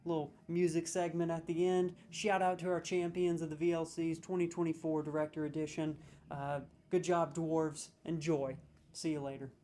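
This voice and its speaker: A man's voice: on the high side, level tone, normal speed, mellow, slight background noise. A man hosting a gaming video, signing off in a rambling, hesitant way with swearing. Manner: rambling, profane, hesitant with fillers. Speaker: video host. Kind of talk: casual video outro.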